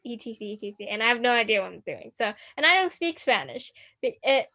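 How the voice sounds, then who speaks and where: A woman's voice: a little high, big pitch swings, quick pace, pushed and bright. A teenage girl from Hong Kong co-hosting a student podcast, chatting casually with many restarts. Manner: rambling, many restarts and fillers. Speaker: podcast co-host.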